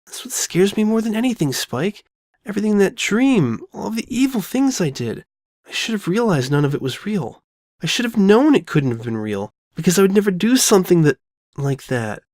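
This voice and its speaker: A woman's voice: mid-range voice, very animated, darkish tone, dry recording, clean studio sound, close to an everyday voice. A woman voicing a frightened, guilt-ridden young female pony who stammers and doubts herself in an audio drama. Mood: anguished. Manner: stammering, halting, anxious. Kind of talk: all-dialogue fan audio drama.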